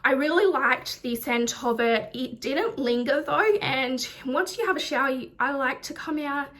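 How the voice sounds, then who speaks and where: A woman's voice: high-pitched, a little slow, a bit bright. A woman reviewing a product in a casual vlog, talking in a chatty way with many ums and likes, sounding slightly nasal from a recent chest infection. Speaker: beauty vlogger.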